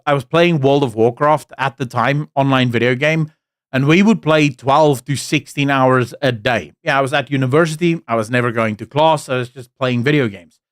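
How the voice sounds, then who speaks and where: A man's voice: medium pitch, no room sound, studio quality. Frustrated South African man with mixed accent. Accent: South African English, mixed with British and American. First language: Afrikaans. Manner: frustrated, rambling, with frequent restarts and asides. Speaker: commentary channel host. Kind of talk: casual political commentary and storytelling.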